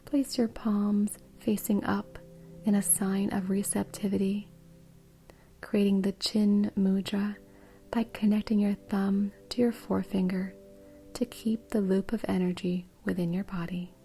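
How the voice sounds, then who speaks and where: A woman guiding a meditation in a soft, breathy, soothing way. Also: mid-range voice, flat delivery, measured pace. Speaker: meditation guide. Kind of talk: guided meditation.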